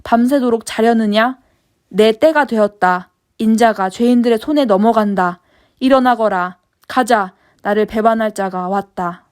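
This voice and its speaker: A woman reading the words of Jesus in a Bible passage, in her own voice, calm and measured. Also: a little high, close to an everyday voice. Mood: grave. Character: Jesus, calm grown man, grave and firm. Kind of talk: scripture reading.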